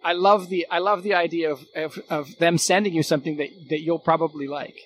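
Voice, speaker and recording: High-voiced Canadian man, casual talker. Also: steady tone, brisk, a bit bright, slight background noise. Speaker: guest, former radio colleague. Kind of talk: casual conversation.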